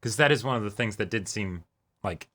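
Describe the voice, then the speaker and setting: A man's voice: fairly low pitch, animated, brisk, a bit bright. A man hosting a reaction show, offering casual commentary in brief, approving asides.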